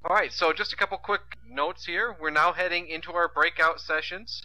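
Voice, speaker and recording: A man moderates a conference with introductions and announcements, using a few fillers. His voice is very bright and sharp. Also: on the high side, steady tone, normal speed, low-quality recording.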